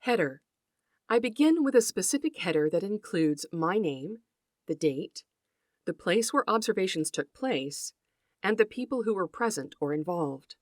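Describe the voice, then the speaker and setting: A woman's voice: medium pitch, big pitch swings, normal speed, no room sound, studio quality. A woman hosting an educational podcast, reading a script in an earnest, articulate manner with formal phrasing. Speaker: podcast host and folklore researcher.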